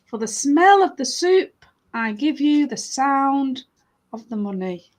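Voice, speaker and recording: A clever, fair-minded man from a folk tale, voiced by a woman. He speaks high and measured. His pronouncements repeat like proverbs. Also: animated, slight background noise. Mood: assured.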